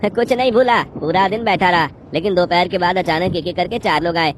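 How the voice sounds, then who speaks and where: A man's voice: high voice, a little fast, background noise, voice pushed up, close to an everyday voice. A man voicing a harried, grumbling village barber in a cartoon story. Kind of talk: cartoon story character acting. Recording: music behind the voice.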